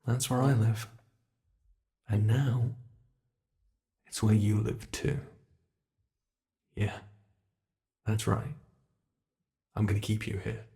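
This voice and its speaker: A British man voicing an ancient male monster in an ASMR horror roleplay, speaking with soft, mockingly polite menace. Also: fairly low pitch, steady tone, vocal fry. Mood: possessive menace. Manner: slow, soft-spoken, teasing menace.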